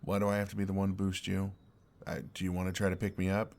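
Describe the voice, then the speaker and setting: A young man playing an exasperated, earnest and oblivious best friend in an intimate audio roleplay, speaking in a halting, conversational way. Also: sits low, completely flat delivery, vocal fry, a little mellow. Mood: mildly exasperated.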